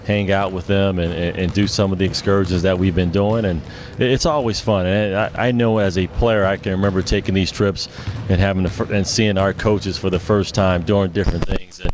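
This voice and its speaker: A man's voice: deep voice, fairly even intonation, fairly quick, a little mellow, slight background noise. A man, a basketball head coach, giving casual answers in a sports interview.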